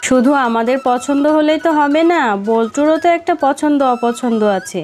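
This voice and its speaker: A woman plays a gentle mother-in-law in a cartoon, speaking high and soft. Strong music sits behind the voice. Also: normal speed, natural voice. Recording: loud background music.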